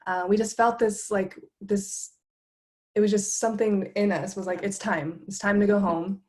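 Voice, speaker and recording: A woman's voice: mid-range voice, fairly even intonation, normal speed, a little mellow. A woman from Florida, a meditation coach, presenting an online workshop in an upbeat, earnest way, often laughing between phrases.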